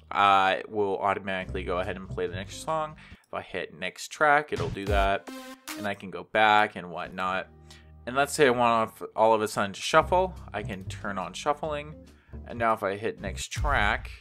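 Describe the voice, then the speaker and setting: A man's voice: fairly low pitch, fairly bright tone. A man hosting a screencast coding tutorial, explaining things in an informal, conversational way. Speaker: tutorial host, web developer.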